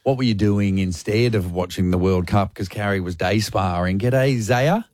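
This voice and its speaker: A joking Australian man co-hosting a radio show, his voice sitting low and easy as he teases callers. He is close on a dry studio microphone, with no room sound around him. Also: moderate pace, darkish tone, no echo. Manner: jokey, teasing, laughs often. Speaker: radio co-host. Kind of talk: casual radio banter.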